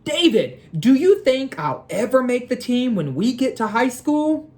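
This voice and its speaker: A woman's voice: a little high, big pitch swings, a little slow, a little mellow, pitched above the performer's own voice. A woman reading a storybook, voicing a young schoolboy dreamer who is unsure of himself. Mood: wistful, unsure. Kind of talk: storybook read-aloud character voice.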